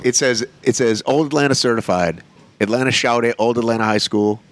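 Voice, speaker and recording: A man from Boston hosting a podcast, asking questions in a quick, excitable way and swearing for emphasis. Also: mid-range voice, noisy background. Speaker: podcast host. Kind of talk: casual podcast interview.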